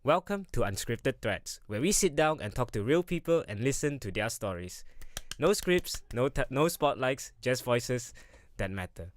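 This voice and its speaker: A man's voice: medium pitch, sing-song, normal speed, dry recording. A young man hosting a casual student radio show, chatty, laughing often and tripping over his words. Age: young adult. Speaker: radio host. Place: radio studio.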